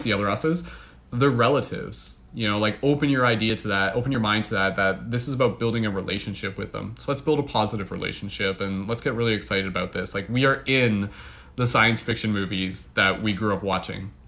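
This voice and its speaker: A young man with a Canadian accent, chatting casually and excitedly to livestream viewers, full of fillers. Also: on the low side, a little fast. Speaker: livestream host.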